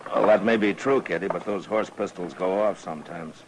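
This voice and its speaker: An actor playing a steady, authoritative frontier marshal in an old radio western, laconic, firm and dryly wry. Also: male voice, low voice, a little fast, close to an everyday voice. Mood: calm, businesslike. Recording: noisy vintage radio recording.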